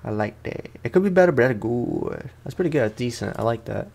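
A man's voice: mid-range voice, lively intonation, a little fast, vocal fry, a little mellow, breathy edge. A man doing casual let's play commentary, talking in an informal, slangy way and often repeating his phrases. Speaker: gaming streamer.

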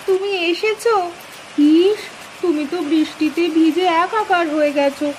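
A woman's voice: extremely high pitch, moderate pace, a little hiss or hum, poor audio. A woman voicing a sweet, devoted young village wife in a cartoon, tender, eager and cooing. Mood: fussing tenderly. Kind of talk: cartoon dialogue acting.

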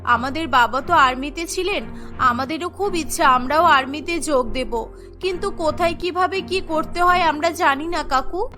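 Woman playing high, bright, pleading village girl. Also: normal speed. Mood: hopeful pleading. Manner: earnest, imploring. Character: pleading, determined poor young village girl. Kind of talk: melodramatic cartoon storytelling. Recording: background music bed.

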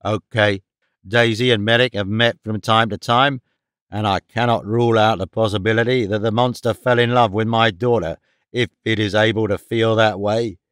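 A man voicing a gruff, domineering, bigoted father in an audiobook, curt and commanding. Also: fairly low pitch, steady tone, moderate pace, a bit bright, no room sound, clean studio sound, lightly acted. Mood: angry, scornful. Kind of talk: audiobook character dialogue.

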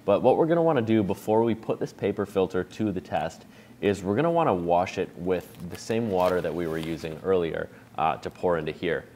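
A man in his thirties walks through a coffee brewing recipe in a relaxed, chatty how-to style, explaining each step as he goes. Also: low voice, brisk, slight background noise. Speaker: coffee shop owner demonstrating a brew recipe. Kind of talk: casual how-to demonstration. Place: bright indoor room with a table.

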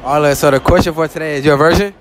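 A man's voice: fairly high pitch, steady tone, rapid-fire, noisy background. A young man running a casual street interview in a shopping mall, loose and joking, leaning on "all right, look" as he holds out a handheld mic.

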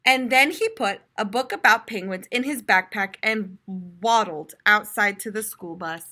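An American woman in her twenties, a teacher reading aloud to young children in an expressive, explanatory way. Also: mid-range voice, measured pace. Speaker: primary school teacher. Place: classroom, indoors.

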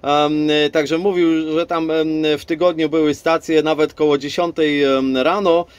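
Male radio amateur, higher-pitched, dry sound, loose repetitive chat over the set. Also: steady tone, unhurried, smooth, dry recording. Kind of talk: casual radio contact chat.

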